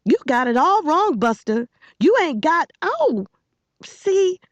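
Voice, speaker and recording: A woman reader plays a fierce young girl from a storybook, pitched high and swinging widely as she scolds. She is bossy, exasperated and emphatic, on a close, dry, slightly dull recording. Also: animated, takes it slowly, a little mellow, no room sound. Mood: furious, commanding.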